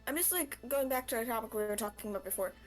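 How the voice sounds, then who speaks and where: Girl chatting over a game, voice a bit high, faint game music behind. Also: very fast. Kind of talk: casual chat over a video game.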